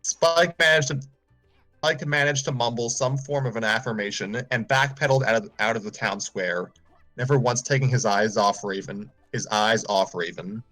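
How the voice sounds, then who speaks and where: A man's voice: medium pitch, moderate pace, sharp tone, rough recording. Man reading a story with faint music. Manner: stumbles and repeats phrases. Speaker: story reader on a livestream. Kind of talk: storybook narration, read aloud informally.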